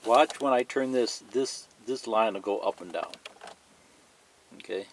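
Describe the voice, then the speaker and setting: Slow-paced man giving a hands-on tutorial. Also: on the low side, a little hiss or hum, poor audio. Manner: plain-spoken, repetitive, pointing things out as he goes. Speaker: hobbyist instructor. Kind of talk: step-by-step hands-on tutorial demonstration, partly reading a manual aloud.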